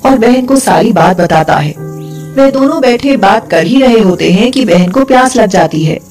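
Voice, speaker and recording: A woman's voice: on the high side, expressive, moderate pace, a little mellow, faint music behind. A woman narrating a scripted folk tale as a storyteller.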